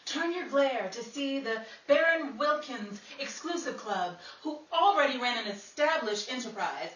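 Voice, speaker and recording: Sassy 1930s radio newswoman, female performer, theatrical, bright, roomy distant sound. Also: sits high, lively intonation, a little slow. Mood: grand, narrating. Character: sassy newswoman Betty Gray of 1930s New York radio show. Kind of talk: 1930s radio comedy acting and scripted narration. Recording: roomy, distant mic with background hiss.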